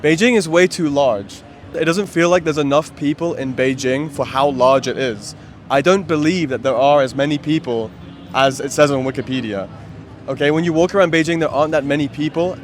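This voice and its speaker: Young guy tourist on the street, laid back, kinda opinionated, slightly high voice. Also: brisk, a little hiss or hum. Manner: relaxed and opinionated. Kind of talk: casual street interview. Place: city street outdoors.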